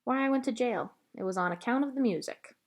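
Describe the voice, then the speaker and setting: A woman voicing a shy, lonely, gentle male pet-store clerk from a storybook, with halting, folksy talk. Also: extremely high pitch. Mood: subdued, confessing.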